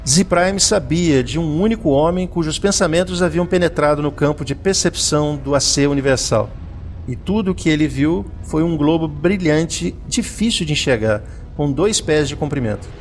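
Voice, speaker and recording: Solemn, higher-pitched male narrator over music. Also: normal speed. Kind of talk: scripted audio drama narration.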